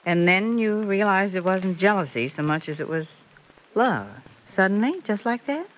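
Concerned radio-soap mother with lilting pitch, played by an actress. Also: lively intonation, normal speed, slight background noise, lightly acted, rough recording. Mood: gently questioning. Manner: coaxing, questioning, tender endearments. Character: concerned, gently probing middle-aged mother, patient and affectionate. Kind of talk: mid-century radio soap acting.

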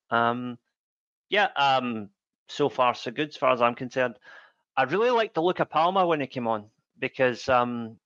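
A man's voice: medium pitch, a bit bright, no echo. Man, dry dull mic. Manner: measured, filler-heavy with frequent ums. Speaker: podcast co-host. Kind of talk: casual football chat.

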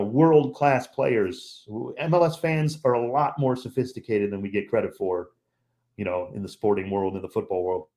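A man's voice: medium pitch, a little mellow. A man who calls games on the radio, a guest on a podcast chat, talking in an emphatic, opinionated way and repeating points for stress.

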